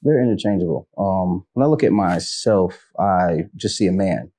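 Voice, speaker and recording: Low-voiced thoughtful man. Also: expressive, moderate pace, mellow. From Detroit, Michigan. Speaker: panel guest. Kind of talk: casual discussion.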